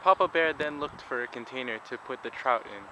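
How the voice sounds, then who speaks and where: A man's voice: medium pitch, fairly quick, sharp tone, slight background noise, poor audio. A man narrating a vlog and telling stories to his viewers. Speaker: vlogger.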